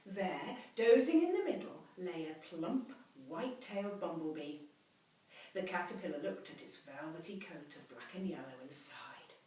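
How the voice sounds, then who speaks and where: Female storybook reader for children, lively swooping pitch, dramatic hushed endings, very echoey room. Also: normal speed, distant mic. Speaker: teacher reading a story to children. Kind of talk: expressive children's storybook narration. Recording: very echoey room, off mic, faint hiss.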